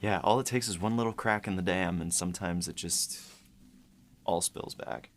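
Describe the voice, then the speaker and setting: A man co-hosting a casual behind-the-scenes discussion, talking in a halting way with repeated words and many filler phrases. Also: low-pitched. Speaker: writer and podcast co-host.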